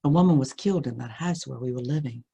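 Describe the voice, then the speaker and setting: A middle-aged American woman with a husky voice reads a scary first-person story aloud, expressively and with suspenseful pauses. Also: very deep voice, dark tone.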